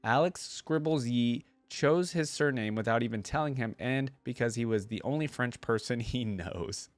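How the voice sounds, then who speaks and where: A man's voice: medium pitch, moderate pace, dry recording. A man giving casual, informal reaction commentary, thinking aloud as he goes with plenty of filler words. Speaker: reaction video host.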